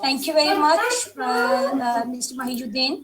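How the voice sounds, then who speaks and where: Urdu-native woman from Pakistan, high voice, polite and halting moderator, distant roomy call audio over crowd noise. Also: big pitch swings. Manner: polite, formal, hesitant with many fillers. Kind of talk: formal online event moderation. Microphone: video call.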